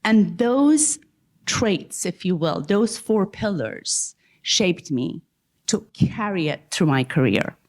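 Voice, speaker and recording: A woman from Iran tells a personal story as a panelist. Her voice sits a little low, and she speaks in a measured, emphatic way, stretching key words slowly. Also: sing-song, a little mellow.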